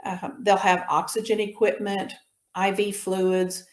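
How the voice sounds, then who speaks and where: A woman giving an informal slide lecture as an instructor, explaining in a measured way with pauses mid-sentence. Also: medium pitch, level tone. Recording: roomy echo on the voice.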